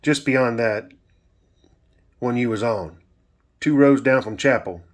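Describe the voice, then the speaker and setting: A man's voice: mid-range voice, slow pace, close to an everyday voice. A man voicing a stern, angry old grandfather from the country, preachy and commanding, in a dramatic story. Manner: preachy, commanding, rustic speech.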